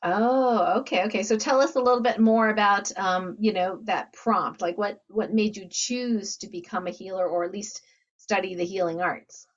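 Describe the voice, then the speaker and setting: A woman hosting an interview, speaking enthusiastically and filling pauses with uhs. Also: mid-range voice, steady tone, dull audio. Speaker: host, academy founder.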